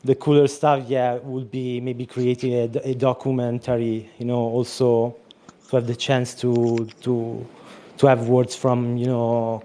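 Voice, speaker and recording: Mellow, even-voiced man explaining a project. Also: medium pitch. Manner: explaining at length. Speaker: project organizer. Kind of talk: casual chat. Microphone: remote call audio.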